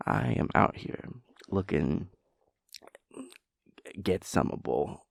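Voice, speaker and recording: Gravelly, breathy, drowsy boyfriend played by a man. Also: low voice, very animated, dry recording, clean studio sound, full character voice. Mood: mock-boastful, teasing. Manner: halting, mumbling, playfully pompous wordy rambling. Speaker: audio roleplay performer. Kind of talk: intimate audio roleplay, half-whispered comic monologue.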